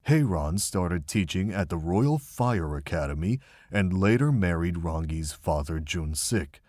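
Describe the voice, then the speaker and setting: A man playing a gentle, regretful middle-aged monk and mentor, speaking in a measured, reflective way in a fan visual novel drama. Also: low voice, lively intonation, dry recording, clean studio sound. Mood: confiding.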